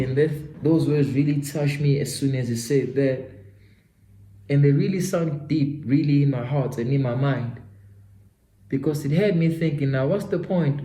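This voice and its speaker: A man's voice: medium pitch, mellow. A Zulu-speaking South African man in his twenties tells an earnest story and talks straight to the listener, as if to a friend. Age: 20s. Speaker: self-development vlogger. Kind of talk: motivational storytelling vlog. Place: his home studio. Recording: roomy echo.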